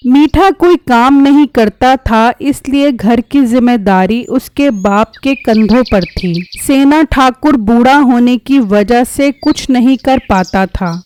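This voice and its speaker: A woman's voice: a little high, darkish tone, clean tone. A woman reading scripted storybook narration for a cartoon tale.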